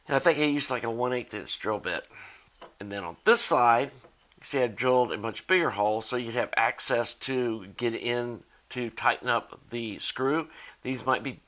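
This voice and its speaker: A man's voice: mid-range voice, normal speed, fairly bright tone. A man, casually explaining a do-it-yourself fix for his tape deck in a folksy, rambling way. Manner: folksy and rambling, many "you know"s. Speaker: electronics DIY video maker.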